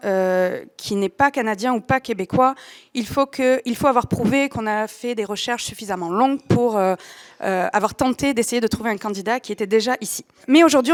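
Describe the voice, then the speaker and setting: Young French woman with a softened southern accent. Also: fairly high pitch. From Marseille, France. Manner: explanatory, orderly, occasional hesitations. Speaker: workforce recruitment agent. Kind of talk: informative presentation.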